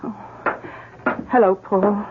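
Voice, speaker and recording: An actress in a 1970s radio drama playing a worried, loyal farmhouse wife, heard through muffled broadcast sound. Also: female voice, medium pitch, fairly even intonation, slow pace, very noisy, natural voice. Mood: uneasy.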